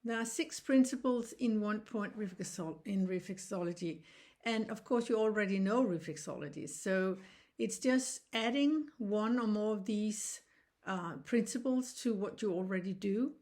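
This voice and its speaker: A Danish woman in her sixties, a reflexology teacher speaking English as a second language, explaining in an earnest, halting way with frequent restarts. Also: mid-range voice, a little mellow. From Denmark. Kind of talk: informal online lesson.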